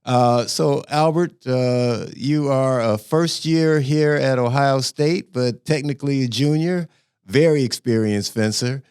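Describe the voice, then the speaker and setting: A man's voice: mid-range voice, unhurried, darkish tone, smooth, dry recording, clean studio sound. A man hosting a podcast, asking questions in a friendly, joking way. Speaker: podcast host. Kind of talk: casual interview hosting.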